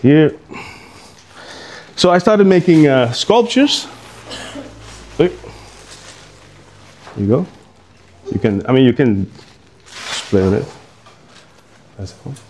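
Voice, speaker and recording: A man's voice: medium pitch, expressive, slow, long pauses, a little mellow, slight background noise. A middle-aged man from Curaçao giving an informal artist talk to an audience, rambling and hesitant, with many fillers and restarts.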